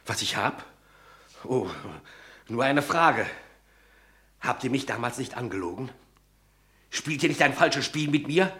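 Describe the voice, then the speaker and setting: A man's voice: mid-range voice, lively intonation, a little slow, pauses often. An actor playing a frightened, suspicious young man who fears betrayal by his friends in a radio play. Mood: anxious. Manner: anxious, suspicious, rising to shouting. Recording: roomy echo.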